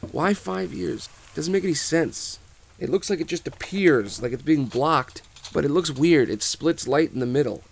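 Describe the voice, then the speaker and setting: A man's voice: a little high, moderate pace, gravelly, slight background noise, pitched above the performer's own voice. A man playing a stubborn, driven woman astronomer in an informal script read, insistent and incredulous.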